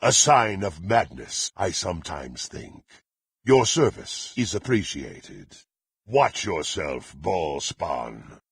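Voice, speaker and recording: An actor playing a menacing, proud and violent blackguard warrior in a fantasy video game, with a gravelly, raspy voice and haughty, threatening, formal delivery. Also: male voice, low-pitched, very animated, takes it slowly, no room sound, studio quality, heavily acted. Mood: haughty, brooding. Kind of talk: theatrical fantasy game voice acting.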